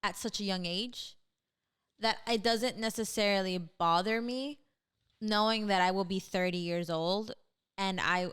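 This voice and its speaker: A young woman on a podcast talks through her thoughts in an open, reflective way. Her voice is smooth and clear, at a middle pitch. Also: a little slow, a bit bright. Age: young adult. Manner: reflective, frequent agreeing "absolutely". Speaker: podcast co-host. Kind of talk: casual chat. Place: Houston, Texas.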